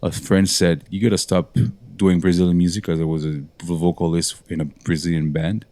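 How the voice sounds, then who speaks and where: A man's voice: low voice, level tone, fairly quick, dark tone, no echo. A man from Montreal, a guest musician, telling his story in a rambling, self-correcting way. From Montreal, Canada. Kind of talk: casual interview talk.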